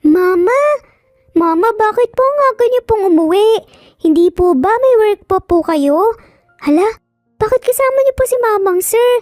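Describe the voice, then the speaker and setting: A woman's voice: very high voice, lively intonation, normal speed, pauses often, darkish tone, no room sound, studio quality, faint music behind, voice pushed up. A woman voicing a small, polite, pleading young boy with a childlike Filipino-accented voice in a story drama. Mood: anxious, curious. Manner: pleading, respectful.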